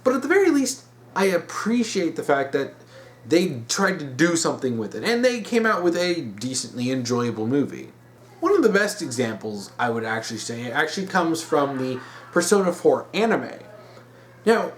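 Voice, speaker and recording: A man giving emphatic opinion commentary as a video host, repeating words for stress. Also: on the high side.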